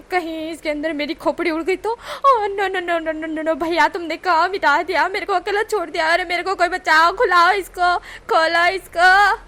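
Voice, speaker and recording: A woman voicing a young man who loudly pretends to be a poor, naive village boy, whining and pleading melodramatically. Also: very high voice, voice pushed up. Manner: whiny, melodramatic shouting and pleading.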